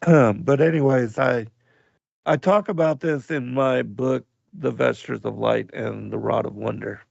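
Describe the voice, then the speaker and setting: A man hosting a radio livestream, hoarse, with his voice going out, talking slowly and haltingly with many pauses while he reads from the chat. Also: medium pitch, a little mellow, no room sound, lo-fi sound. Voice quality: gravelly. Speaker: radio show host.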